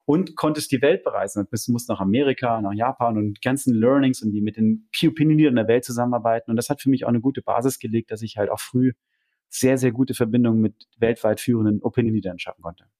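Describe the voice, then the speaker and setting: A man's voice: mid-range voice, fast, mellow. A man talking enthusiastically and conversationally about his own career as an interview guest. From Germany. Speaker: podcast interview guest, entrepreneur.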